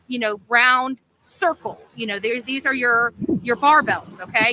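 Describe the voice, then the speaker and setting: A woman with a high, piercingly bright voice talks casually on a livestream. She rambles as she explains, often checking in with listeners, over faint ambient noise. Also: low-quality recording. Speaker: livestream amateur sleuth.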